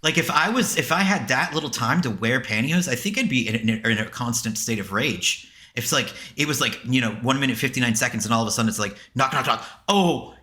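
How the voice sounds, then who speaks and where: A man's voice: mid-range voice, animated, fast talker, sharp tone. A man co-hosting a podcast in casual banter, emphatic and sweary, often repeating himself for effect. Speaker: podcast co-host.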